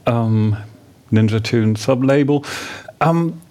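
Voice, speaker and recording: A man's voice: takes it slowly, warm tone. A man whose first language is German, hosting a radio interview and asking hesitant questions. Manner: hesitant questions, rising pitch. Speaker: radio host.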